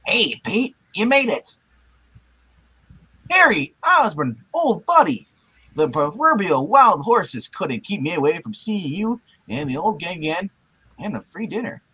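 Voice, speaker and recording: A man's voice: high-pitched, sing-song, unhurried, sharp tone, slightly rough, full character voice, rough recording. A man voicing a young, wisecracking, chummy everyman hero in a live comic-book reading. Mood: chummy joking. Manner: jokey, chummy.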